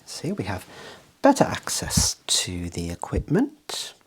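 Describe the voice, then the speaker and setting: A soft-spoken, whispery ASMR voice in a role play as an eye clinician, gently and deliberately explaining equipment. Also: low voice, wildly swooping pitch, a little hiss or hum. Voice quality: breathy, whispery.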